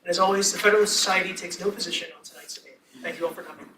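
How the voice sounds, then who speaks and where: Man, fast-talking, high voice, formal introductions, echoey hall, distant mic. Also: fairly even intonation, crowd noise. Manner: brisk, formal, scripted. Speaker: debate director introducing panelists. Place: lecture hall.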